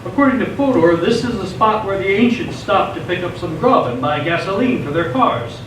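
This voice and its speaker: A man's voice: sits high, expressive, moderate pace. A man playing a cocky, bruised teenage livestreamer in a radio play, addressing his viewers with showy, slangy bravado. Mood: narrating to viewers. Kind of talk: radio theatre acting. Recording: echoey stage, distant mic, noisy.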